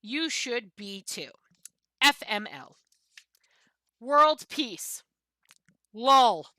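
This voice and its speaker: Woman voicing a pompous, sneering cat, bright and animated. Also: fairly high pitch, very slow, no echo, full character voice. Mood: indignant. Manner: pompous, disdainful, theatrically emphatic. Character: haughty misanthropic pampered cat, sneering at common people. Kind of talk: dramatic book reading.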